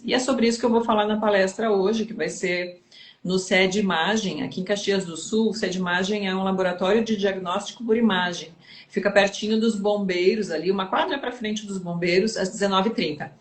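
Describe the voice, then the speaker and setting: Uma mulher com sotaque do sul do Brasil, palestrante convidada, explicando um tema com jeito didático e pausado numa conversa ao vivo. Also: female voice, mid-range voice. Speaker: guest speaker. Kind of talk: explanatory conversation. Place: home kitchen livestream. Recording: roomy echo.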